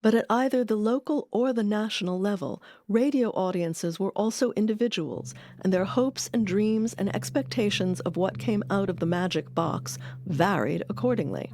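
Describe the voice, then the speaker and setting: A woman's voice: mid-range voice, a little mellow. A woman reading scripted documentary narration. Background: music.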